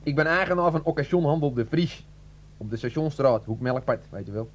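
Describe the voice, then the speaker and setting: An actor playing a sheepish used-car dealer, hesitant and long-winded, in a satirical radio play. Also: male voice, mid-range voice, brisk, natural voice.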